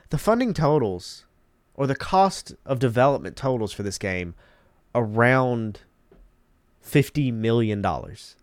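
A man's voice: mid-range voice, no echo, studio quality. A man hosting a casual gaming commentary, congested and emphatic, repeating words for emphasis. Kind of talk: casual commentary reading articles.

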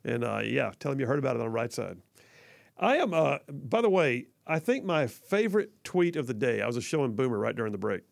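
A man from with a gravelly, fry-laced voice hosts talk radio in a folksy, rambling way. His voice sits at an average pitch and sounds close and dry in the studio, with no room around it. He restarts sentences often and fills gaps with "uh" and "um.". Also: big pitch swings, a little fast, no room sound. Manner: folksy and chatty, frequent fillers and restarts. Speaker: radio talk show host. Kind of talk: talk radio, reading listener messages and ad reads. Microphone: studio microphone.

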